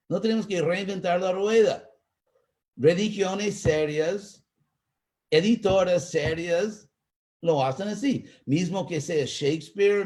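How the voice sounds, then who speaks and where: A man with an American accent, a native English speaker, teaching in a live online talk and answering questions, pausing to search for words. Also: sits high, a little mellow. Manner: slow, searching for Spanish words with pauses. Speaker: spiritual teacher.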